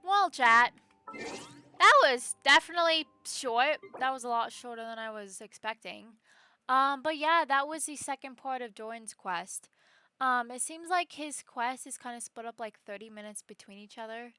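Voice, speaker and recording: A woman chats casually as she streams a game. Her voice is bright and forward, recorded close and dry, with some game music playing behind it. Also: on the high side, moderate pace, smooth, no room sound. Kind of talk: casual livestream chat while playing a game. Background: video game music.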